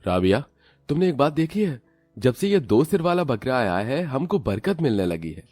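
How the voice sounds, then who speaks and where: An actor playing an earnest, kind poor husband in a cartoon story. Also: male voice, mid-range voice, sing-song, normal speed, a little mellow, no echo, faint music behind.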